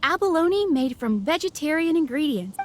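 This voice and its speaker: An actress playing a courteous, helpful young waitress at an inn in a video game. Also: female voice, high voice, sing-song, normal speed, slight background noise. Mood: polite, informative. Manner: courteous service patter. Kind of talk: video game character acting.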